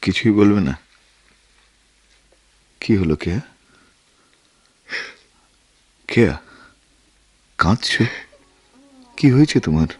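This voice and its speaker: An actor with a Bangladeshi accent playing an earnest, wounded war veteran turned writer, speaking in a measured, literary radio drama manner. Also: male voice, fairly low pitch, animated, breathy edge, no room sound, heavily acted. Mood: concerned. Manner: measured, reflective, literary delivery. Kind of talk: Bengali radio drama acting.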